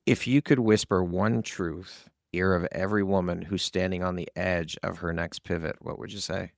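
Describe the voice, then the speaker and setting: A man's voice: low-pitched, steady tone, moderate pace, gravelly, no room sound, studio quality. A man hosting an interview podcast in a conversational style. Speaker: podcast host.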